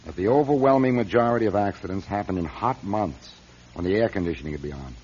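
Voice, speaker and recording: Guarded executive boss, low husky voice, authoritative, radio drama, dull recording. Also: male voice, fast, darkish tone. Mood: grave urgent. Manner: authoritative, probing. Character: shrewd, guarded male car company chief executive.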